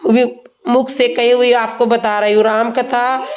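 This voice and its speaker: A woman tells a devotional story at an unhurried pace, humble and earnest. Her throat is sore, so the voice sounds hoarse. Her pitch sits a little high but barely moves, keeping the telling even and steady. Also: noisy background. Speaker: storyteller of religious tales.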